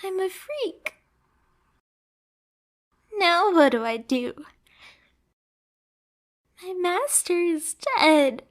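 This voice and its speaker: A woman's voice: very high-pitched, animated, very slow, long pauses, voice pushed up, exaggerated voice. A woman playing a lonely, jealous, unhinged pet catgirl in an emotional anime ASMR roleplay, dramatic and sometimes whispery. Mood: despairing, tearful.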